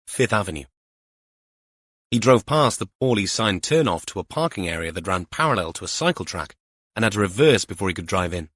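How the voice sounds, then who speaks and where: A man's voice: lowish voice, a bit bright, no echo, studio quality. A man reading a mystery novel aloud as scripted audiobook narration.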